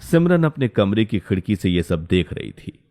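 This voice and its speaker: A man's voice: on the low side, big pitch swings, normal speed, mellow, soft background music. A man narrating a scripted story as its storyteller.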